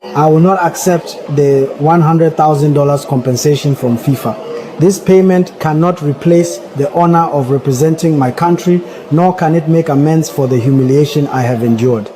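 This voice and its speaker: Male voice, mellow tone, slightly high, formal and aggrieved statement. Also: moderate pace, a little hiss or hum. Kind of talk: read statement.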